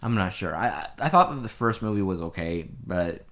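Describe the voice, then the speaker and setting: Low-voiced man, casual podcast co-host. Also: animated, a little fast, a bit bright. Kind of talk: casual chat about entertainment news.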